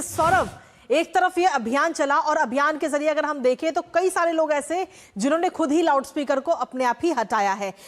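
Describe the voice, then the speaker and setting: A woman presenting television news as an anchor, emphatic and repeating her key phrases. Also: sits high. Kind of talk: live Hindi news presenting.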